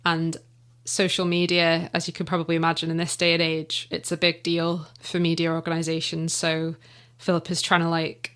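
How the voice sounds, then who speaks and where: Woman, Manx accent, lowish voice, casual chat, laughs a lot. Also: completely flat delivery, moderate pace, fairly bright tone. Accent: Manx English. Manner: chatty, laughs often, trails off to avoid spoilers. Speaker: audio drama writer and guest. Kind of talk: casual radio interview.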